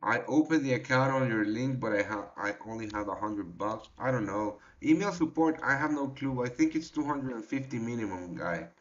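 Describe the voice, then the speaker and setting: A man hosting a trading livestream in a relaxed, halting way, with many fillers as he answers the chat. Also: medium pitch. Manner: reads and answers chat directly. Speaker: trading show host. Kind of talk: casual livestream market talk. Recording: dull lo-fi livestream audio.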